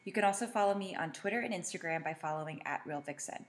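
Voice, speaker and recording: A woman's voice: on the low side, fast talker. An American woman speaking as the host of a podcast. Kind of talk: conversational podcast interview.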